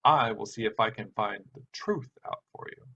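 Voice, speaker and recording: A man voicing a sharp, assertive woman traveler with a curt, scolding manner. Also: fairly low pitch, moderate pace. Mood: sharp, then softer. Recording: dull sound with background hiss.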